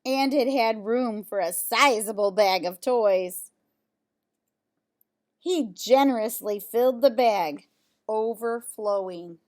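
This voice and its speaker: A woman reading a children's picture book aloud, pausing for casual asides and questions to young listeners. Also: a little high, darkish tone. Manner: pauses to comment on pictures and ask listeners questions.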